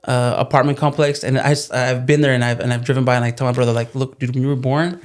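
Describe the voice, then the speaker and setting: A man's voice: medium pitch, flat delivery, fast. A man from California whose first language is Spanish talks as a guest in a casual chat. His voice sits in a middle range, and his manner is easygoing and joking. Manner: easygoing storyteller, joking and laughing. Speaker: plumbing business co-founder, guest.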